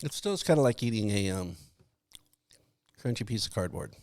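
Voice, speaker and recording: A man's voice: lowish voice, lively intonation, moderate pace, a little mellow. A man co-hosting a podcast, chatting casually and jokily in a rambling way while he tastes snacks. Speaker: podcast co-host.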